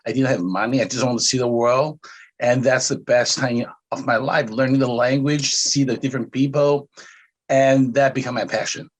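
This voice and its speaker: Man, relaxed interview guest. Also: mid-range voice, husky. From Taiwan. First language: Cantonese. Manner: relaxed, with frequent "uh" pauses. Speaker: podcast guest. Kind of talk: casual interview answers.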